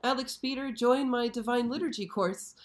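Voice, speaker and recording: A hoarse woman hosting a casual live stream, chatty and often checking in with her viewers. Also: a little high, normal speed. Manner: chatty, frequently checks in with "okay?". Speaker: show host. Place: show set.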